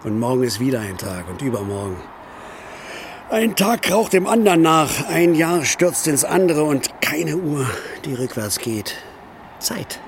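An actor playing a weary, bitter, hard-drinking East German building worker, declaiming poetically in a theatrical radio play. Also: male voice, a little high, very animated, measured pace, a little hiss or hum. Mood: weary, resigned.

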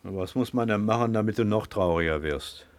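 A practical, gently mocking male companion played by a man in a radio drama. He has a fairly low voice in a dry recording and talks in a coaxing, teasing way. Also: moderate pace, dry recording, natural voice. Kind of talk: German radio play acting.

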